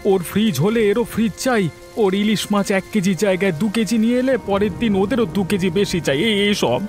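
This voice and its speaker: Man acting a very high-voiced, put-upon village husband. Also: fast, darkish tone, faint music behind, lightly acted. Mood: pleading. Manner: pleading, complaining. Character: poor, put-upon village husband and son-in-law, plaintive. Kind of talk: Bengali folk-tale cartoon dialogue.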